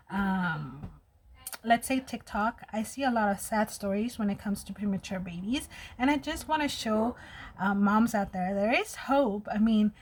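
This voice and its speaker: A woman in her thirties with a slight lisp, chatting casually and openly to her viewers while doing her makeup. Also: mid-range voice. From United States. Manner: chatty, open and personal. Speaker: vlogger.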